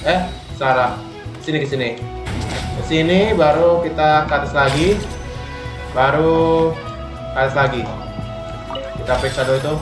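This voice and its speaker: A man's voice: a little high, fairly even intonation, moderate pace, pauses often. A man with an Indonesian accent giving casual live gameplay commentary as a streamer. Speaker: game streamer. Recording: echoey room over game music. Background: game music.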